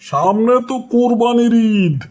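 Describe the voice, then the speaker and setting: A man's voice: very high voice, animated, measured pace, a little mellow, full character voice. A man voicing a proud, boastful old village father in a cartoon, with a Bangladeshi accent. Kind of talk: cartoon dialogue.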